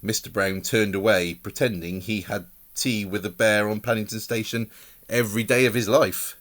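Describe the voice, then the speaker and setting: A man reading a picture book aloud to children in an expressive way, adding explanations and questions for the young listeners. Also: fairly low pitch, moderate pace.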